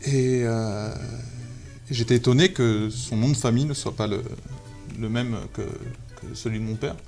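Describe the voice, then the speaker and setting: A man in his thirties from Algeria, a photographer guest telling his story in a relaxed interview, hesitant with many fillers and restarts. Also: medium pitch, a little slow, faint music behind. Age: 30s. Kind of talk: casual interview talk.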